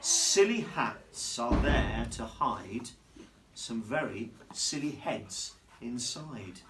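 A man's voice: medium pitch, animated, over a noisy crowd. Playful man reading to children. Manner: playful, asks the children questions and slows down on the rhymes. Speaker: headmaster. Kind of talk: reading a picture book aloud to young children. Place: primary school classroom, indoors. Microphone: camera across the room. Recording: distant camera, noisy classroom.

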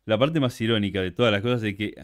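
A man's voice: on the low side, fast, smooth, no room sound, studio quality. A young Argentine man who is a guest on a livestream interview, chatting casually and animatedly.

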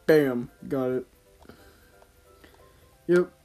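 Mellow-voiced guy rambling over gameplay. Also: mid-range voice, extremely slow, soft background music. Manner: slangy, informal, talking to himself and viewers. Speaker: gaming YouTuber. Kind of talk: casual gameplay commentary, rambling chat.

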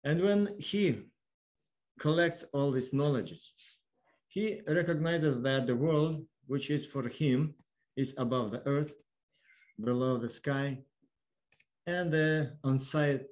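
A man with a Lithuanian accent gives an explanatory online lecture in halting, non-fluent English. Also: a little high, darkish tone, low-quality recording. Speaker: observatory worker giving a lecture. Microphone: online video call.

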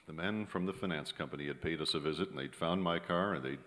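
A man's voice: extremely low pitch, fast, fairly bright tone. A middle-aged man playing a jaded, sardonic kept writer, plain-spoken, in old-time radio drama style. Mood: wry, narrating. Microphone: vintage-style stand microphone. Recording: live theater stage, long hall reverb.

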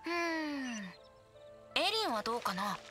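A woman's voice: high voice, lively intonation, a little slow, over music. A woman voicing a playful, breezy teenage boy bard with a light, teasing manner in an anime game. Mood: musing, playful.

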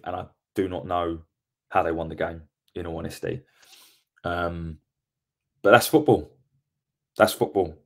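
A man, hosting a solo post-match football reaction podcast from home on a webcam, giving frank opinions and often repeating words as he corrects himself. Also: deep voice. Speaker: podcast host.

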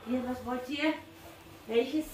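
A woman's voice: high voice, takes it slowly, darkish tone, poor audio. A woman making casual small talk with customers, recorded away from the microphone.

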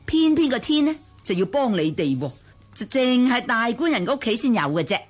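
A woman's voice: on the high side, sing-song, breathy edge. An actress playing a scheming old matchmaker in a radio drama, coaxing slyly and drawing out her persuasion. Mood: conspiratorial. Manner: sly, coaxing, drawn-out persuasion. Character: scheming old female matchmaker, cold-blooded and wheedling. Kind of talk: Cantonese radio drama acting. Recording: music behind.

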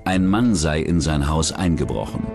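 Deep-voiced male true-crime narrator. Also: animated, normal speed, vocal fry. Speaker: documentary narrator. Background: background music.

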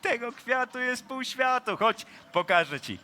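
Man doing a smooth easygoing paris guy in a radio play, slow bright voice, always chuckling. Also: very high voice, lively intonation, slight background noise, exaggerated voice. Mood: coaxing. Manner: teasing, chuckling, persuasive. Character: smooth, laid-back Parisian man-about-town, a man. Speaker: character in a radio operetta. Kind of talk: comic radio operetta acting, breaking into song.

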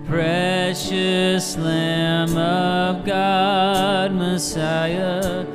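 A woman leads a hymn from a church stage, singing slowly in a lowish voice. A loud band with guitar plays right behind her. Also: little pitch movement. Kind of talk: congregational singing.

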